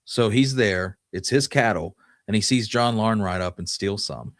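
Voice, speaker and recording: A man's voice: lowish voice, moderate pace, no echo, clean studio sound. A man co-hosting a podcast, retelling history in a casual, conversational storytelling style. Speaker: podcast co-host.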